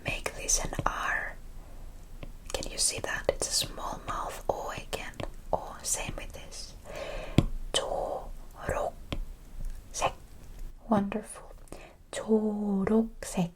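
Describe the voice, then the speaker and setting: A woman teaching a language in a breathy ASMR whisper, encouraging and repeating words syllable by syllable. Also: mid-range voice, steady tone, unhurried, pauses often.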